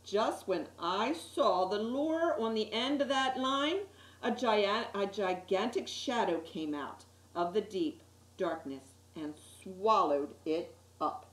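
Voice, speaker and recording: A woman reading a children's picture book aloud with dramatic, deliberate emphasis on key words. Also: medium pitch, lively intonation. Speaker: storytime reader. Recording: roomy, echoey sound.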